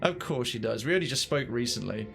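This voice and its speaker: A man's voice: medium pitch, animated, quick pace, creaky. A man doing casual let's play commentary and chatting to viewers in a wry, rambling way. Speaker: game streamer. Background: faint game music.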